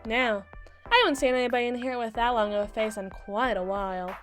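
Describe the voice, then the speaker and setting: A woman performs a mermaid public attorney in an audio roleplay, pitched fairly high. She is brisk and sassy, delivering wordy, sardonic monologues with theatrical flair, with some music behind her. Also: a bit bright, lightly acted. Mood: wry. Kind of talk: scripted ASMR roleplay monologue.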